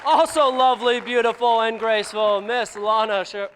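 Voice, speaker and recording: An actor playing a showy 1940s radio announcer hosting a live broadcast on a theater stage. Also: male voice, very high-pitched, steady tone, normal speed, fairly bright tone, a little hiss or hum. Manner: showy, emcee patter. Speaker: radio show host. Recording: echoey hall with crowd.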